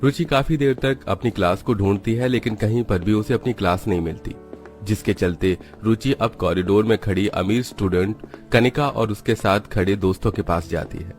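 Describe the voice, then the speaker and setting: A man's voice: fairly low pitch, moderate pace, darkish tone. A man reading scripted narration for a children's moral story. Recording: music behind the voice.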